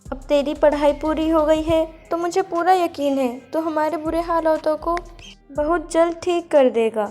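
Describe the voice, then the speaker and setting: A woman's voice: high-pitched, normal speed, a little mellow, soft background music, natural voice. A woman voicing a poor, long-suffering village mother-in-law in a cartoon moral story, plaintive and motherly. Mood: sorrowful relief.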